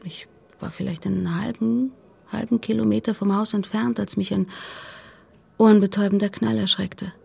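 Very husky, breathy grieving mother. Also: mid-range voice, fairly even intonation, a little mellow. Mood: subdued, halting. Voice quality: very husky, breathy, whispery, creaky. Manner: halting, hesitant. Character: grieving, guarded mother, middle-aged, haltingly recounting. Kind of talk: radio play acting.

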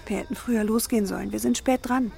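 An actress playing a caring middle-aged wife in a radio drama, measured as she reads aloud and matter-of-fact with her husband. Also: on the high side, steady tone, vocal fry, darkish tone. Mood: brisk, slightly impatient.

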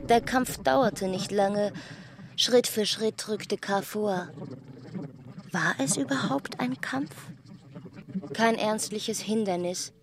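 A woman narrating a literary radio drama deliberately, pausing between short phrases. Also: medium pitch. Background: prominent music.

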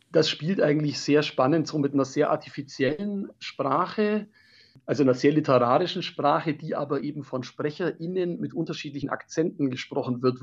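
A man's voice: on the high side, husky, dull audio. A man speaking analytically as a jury guest in a radio discussion. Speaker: jury member, interview guest.

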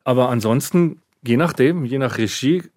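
A man's voice: mid-range voice, normal speed, darkish tone, dry recording. Man explaining his sound craft, dry studio. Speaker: sound effects artist. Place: radio drama studio.

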